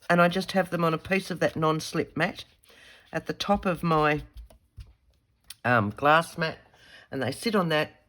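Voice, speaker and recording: Croaky Australian woman demonstrating a craft. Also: deep voice, fairly bright tone. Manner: chatty, thinks aloud, self-correcting. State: croaky from a head cold. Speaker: craft tutorial host. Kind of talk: casual demonstration while crafting. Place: craft desk indoors.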